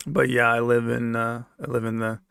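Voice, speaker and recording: American man chatting casually, creaky voice. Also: medium pitch, level tone, normal speed, a bit bright, no room sound, studio quality. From United States, raised moving around in a military family. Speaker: livestream host answering viewer comments. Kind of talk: casual off-the-cuff chat with viewers.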